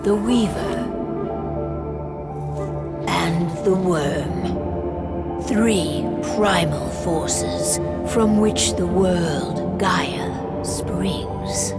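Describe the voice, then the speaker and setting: A woman playing a solemn werewolf narrator of ancient lore in a video game, deliberate and ominous, with long pauses. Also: fairly low pitch. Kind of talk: solemn scripted prologue narration. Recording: music under the voice. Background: loud music.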